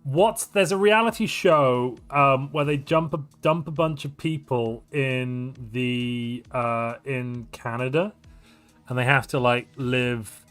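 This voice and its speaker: A man's voice: medium pitch, slow pace, soft background music. A man from North Wales, chatting casually and animatedly on a live stream, rambling and joking at his own expense. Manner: animated, rambling, jokey and self-mocking. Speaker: streamer and tournament caster.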